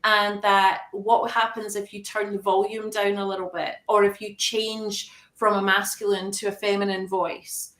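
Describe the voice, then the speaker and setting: Woman coach explaining things slowly and calmly, lots of analogies, roomy echoey sound. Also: mid-range voice. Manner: calm, measured explanations using analogies. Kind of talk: guest explaining in an interview. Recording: echoey room.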